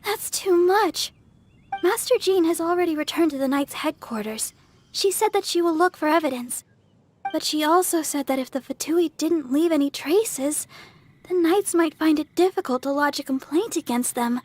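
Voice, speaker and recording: A woman's voice: high voice, expressive, normal speed. A woman playing a sweet, earnest teenage girl healer in a video game, girlish and a little flustered. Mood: concerned.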